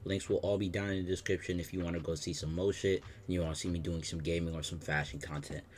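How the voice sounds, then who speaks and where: A man's voice: low-pitched, flat delivery, quick pace, darkish tone, slight background noise. A man giving casual, blunt, profane reaction commentary over a video. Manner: blunt, profane, rambling asides. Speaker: reaction streamer.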